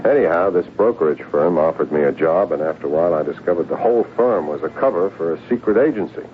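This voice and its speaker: An actor playing a troubled, down-to-earth secret agent in a radio drama, talking in a halting, conversational way. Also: male voice, low-pitched, close to an everyday voice. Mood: chatty, rueful. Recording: muffled, hissy old radio broadcast.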